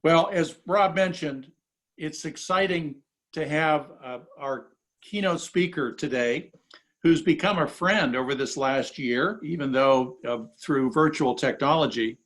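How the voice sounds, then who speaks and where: A man's voice: a little high, fairly bright tone. Polite man hosting a video panel. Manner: polite, measured, hesitant with fillers. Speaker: academic host. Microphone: video call.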